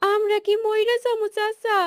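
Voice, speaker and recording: A woman's voice: extremely high pitch, little pitch movement, measured pace, no echo, clean studio sound, voice pushed up. A woman voicing an earnest, devout young village girl in a cartoon, with a rural Bangladeshi accent. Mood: frightened.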